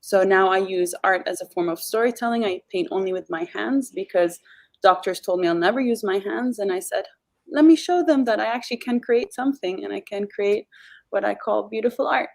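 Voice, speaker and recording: A woman's voice: medium pitch, fairly quick, creaky, no echo. A woman introducing herself as a panelist and telling her personal story. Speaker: panelist, artist and coach.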